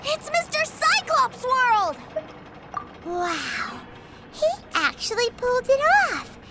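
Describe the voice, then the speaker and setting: A woman voicing an excitable, mischievous little boy in a video game, gleeful and innocent in manner. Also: extremely high pitch, slow pace, exaggerated voice. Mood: awestruck. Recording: loud music behind the voice. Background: loud game music.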